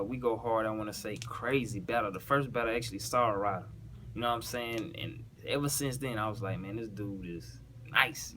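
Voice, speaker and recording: A man with a Southern US accent speaks in a fairly low voice. He talks casually in heavy slang, explaining and reciting rap bars and checking that the listener follows. The recording carries faint ambient noise. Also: fairly bright tone. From South Carolina, US. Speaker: battle rapper breaking down their own bars.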